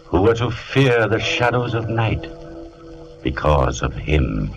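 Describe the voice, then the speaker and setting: An actor as a grave storyteller, narrating an old radio horror tale in an ominous, dramatic manner. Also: male voice, on the low side, very animated, slow pace, muffled recording, music in the background, full character voice.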